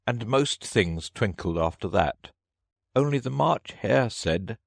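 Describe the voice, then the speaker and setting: Storybook hatmaker, played by a man: trembling, anxious, pleading, voice pushed high. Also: lively intonation, takes it slowly, vocal fry, dry recording, studio quality, exaggerated voice. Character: nervous, miserable, poor Hatter pleading in court. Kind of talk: storybook character voice.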